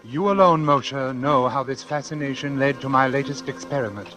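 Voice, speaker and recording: An actor playing a coolly obsessive, confident doctor in a 1940s radio drama, speaking formally and deliberately with theatrical diction. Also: male voice, medium pitch, pushed and bright, close to an everyday voice. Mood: brooding. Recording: vintage 1940s radio recording with music.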